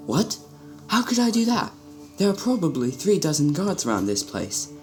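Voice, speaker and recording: A man's voice: sits high, sing-song, moderate pace, soft background music. A man playing an earnest, questioning young prince in an amateur radio drama. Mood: doubtful.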